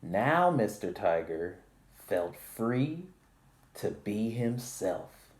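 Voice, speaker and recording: A man's voice: lowish voice, very animated, very slow. A man reading a picture book aloud to children with a polite, theatrical delivery and chatty asides. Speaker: library storytime presenter.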